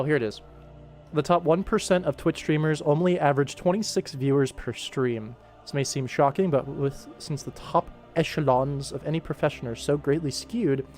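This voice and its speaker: A man hosting a casual livestream, thinking aloud in a laid-back way as he works. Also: on the high side. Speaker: animator, livestream host. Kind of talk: casual livestream work commentary.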